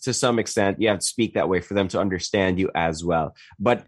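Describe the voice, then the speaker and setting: A man co-hosting a call-in show, asking deliberate, probing questions. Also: sits low, no echo, clean studio sound. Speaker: co-host. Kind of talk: casual call-in talk show.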